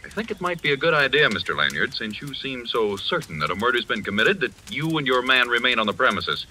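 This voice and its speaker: An actor playing an officious, defensive society host in an old radio mystery, speaking in a brisk, insistent way. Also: male voice, mid-range voice, very animated, sharp tone, poor audio. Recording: old radio recording, faint noise.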